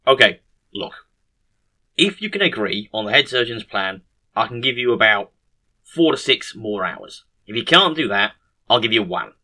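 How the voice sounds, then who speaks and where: A man voicing a weary, exasperated anesthesiologist, firm and deliberate, in a comic story. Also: on the low side, very animated, pushed and bright, heavily acted. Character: weary, exasperated male anesthesiologist laying down terms.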